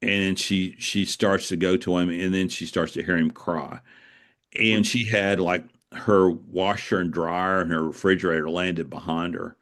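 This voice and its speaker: A man with a deep, low voice talks in a reflective interview style. He is earnest and halting, circling back to repeat phrases as he tells his stories. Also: steady tone, a bit bright. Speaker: author being interviewed. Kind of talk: reflective conversational storytelling.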